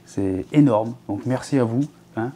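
Casual home vlogger, man in his thirties, mellow tone, rambling and full of fillers. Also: medium pitch, unhurried. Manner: chatty, rambling, many fillers and restarts. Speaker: fishing channel host. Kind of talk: casual chat to viewers. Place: living room at home, seated in an armchair.

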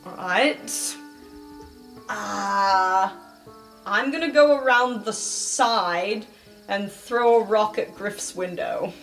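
Woman roleplaying casually over soft music. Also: mid-range voice, drawn-out words, rough recording. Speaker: tabletop game player. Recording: music bed under the voice. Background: background music.

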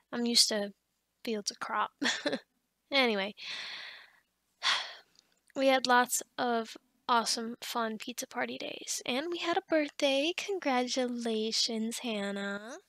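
A woman acts out a bubbly, kindly female office boss with a high, bright voice. She rambles cheerfully and encouragingly in a casual ASMR roleplay, a little scatterbrained. The sound is close and dry. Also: measured pace, gravelly, long pauses, dry recording. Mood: upbeat, casual. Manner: chatty, encouraging, rambling with soft laughs. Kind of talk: ASMR roleplay monologue, casual pep talk.

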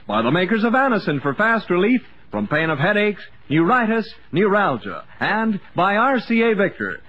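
A man announcing a radio show from a script in formal 1950s broadcast style. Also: sits high. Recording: muffled 1950s radio recording.

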